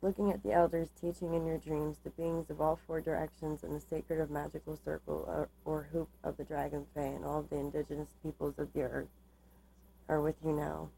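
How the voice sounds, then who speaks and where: Woman tarot reader, very low pitch, gravelly fry, halting measured phrasing, slightly worn-out voice, faint background hum. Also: level tone, dark tone, poor audio. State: slightly losing her voice. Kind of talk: tarot reading, part read aloud from cards, part informal explanation.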